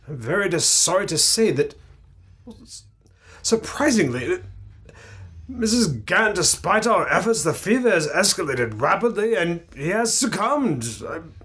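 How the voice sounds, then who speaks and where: A man's voice: high voice, sing-song, unhurried, fairly bright tone, breathy edge, full character voice. A man playing a flustered doctor in an old-time radio drama, who hesitates and stammers as he speaks. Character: flustered middle-aged male country doctor.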